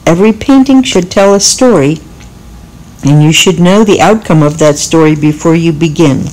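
Middle aged lady with a low voice talking thru her painting, deliberate and explanatory, like a lesson. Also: a little mellow, poor audio. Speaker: painting instructor. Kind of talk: instructional narration while painting.

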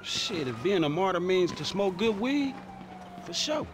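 A man acting in a video game cutscene as a skeptical streetwise character, blunt, irritated and quick to swear. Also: sits high, very animated, noisy background.

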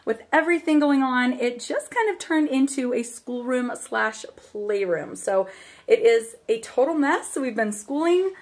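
A woman in her thirties talking casually through a home cleaning video, relaxed and chatty, laughing now and then. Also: sits high, unhurried. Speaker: vlogger. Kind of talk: casual voice-over chat. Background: soft music underneath.

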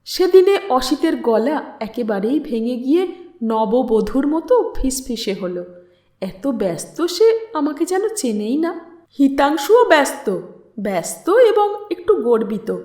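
A woman reading a literary story aloud as an audiobook narrator, in an expressive, dramatic way. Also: on the high side, slow. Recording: roomy echo on the voice.

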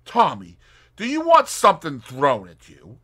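A man reading an audiobook, voicing a brisk and determined young woman detective with emphatic, crisp speech. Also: a little high, huge pitch swings, bright, forceful tone, voice pushed up, full character voice.

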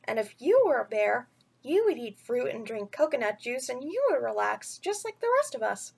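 A woman voicing an eager, cheeky, playful young jungle boy from a storybook, excitable and insistent. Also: on the high side, moderate pace, gravelly, husky, exaggerated voice.

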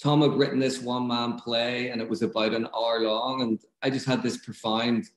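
A man's voice: medium pitch, flat delivery, normal speed. Belfast-accented man in an echoey room. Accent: Northern Irish, Belfast. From Northern Ireland. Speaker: film director. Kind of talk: video call interview. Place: room at home. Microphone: video call.

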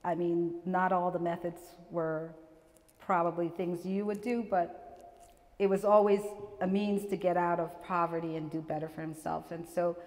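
A middle-aged woman from Guyana giving a relaxed, chatty talk about her portraits, laughing often and restarting her sentences. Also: fairly low pitch, moderate pace. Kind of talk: gallery artist talk. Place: small gallery room with seated audience, indoors. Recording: long reverb from the room.

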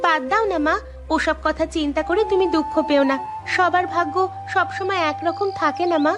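High-voiced earnest young daughter voiced by a woman. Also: steady tone, normal speed. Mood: reassuring. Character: poor dutiful young daughter, gentle and pleading. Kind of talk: Bengali cartoon story voice acting. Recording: music behind the voice.

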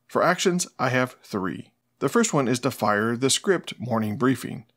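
A middle-aged man hosts a scripted tutorial. He explains things in a plain-spoken way, at a medium pitch. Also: lively intonation, normal speed, fairly bright tone. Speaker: host of a smart home tutorial channel.

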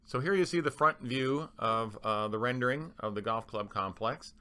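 Male manager for presentation voice-over: bright, forceful, smooth tone, explanatory and somewhat halting. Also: medium pitch. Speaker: club manager presenting renovation plans.

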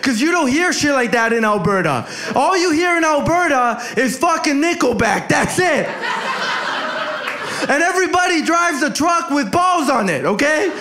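A man's voice: very high-pitched, very animated, moderate pace, bright, forceful tone. A young man from El Salvador doing a brash, crowd-working stand-up set on a club stage, holding a handheld mic. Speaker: stand-up comic. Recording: echoey club with crowd noise.

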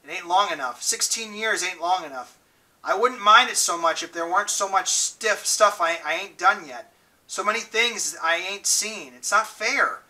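A man's voice: sits high, expressive, recorded from a distance, full character voice. A man as a frail hurt teenage boy. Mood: weak scared. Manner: weak, quiet and halting. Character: badly hurt sixteen-year-old boy, gentle and scared.

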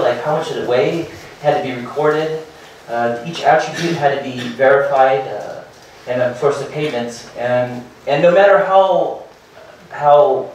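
A man in his thirties presents his startup to a seated meetup crowd, hurrying and stumbling over fillers and repeated words. His voice sits at an ordinary middle pitch. The recording is picked up from across a very reverberant room, with faint room noise behind. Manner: hurried, filler-heavy, restarts and repeats words. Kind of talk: conference talk. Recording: very echoey room from far off.

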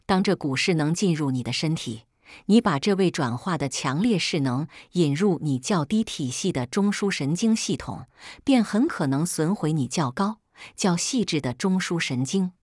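Audiobook high priest, read by a woman: grave, caring mentor, low-pitched for a female voice, solemn warnings. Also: animated, moderate pace, darkish tone, no room sound, studio quality. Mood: earnest warning. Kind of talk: scripted audiobook narration.

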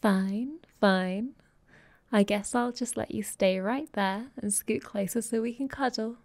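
A woman acting as a sly, playfully dominant vampire girlfriend in an intimate ASMR audio roleplay. She talks slowly at a medium pitch, cooing and teasing affectionately, often sinking toward a whisper. The sound is close and dry. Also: darkish tone, no echo, lightly acted.

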